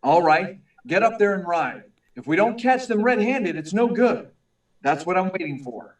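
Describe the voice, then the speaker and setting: A man plays an earnest young reporter pressing his case in an old radio play. His voice is high for a man and urgently insistent, heard a little away from the mic in an echoey room. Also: big pitch swings, moderate pace, recorded from a distance. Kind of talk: 1940s radio drama acting.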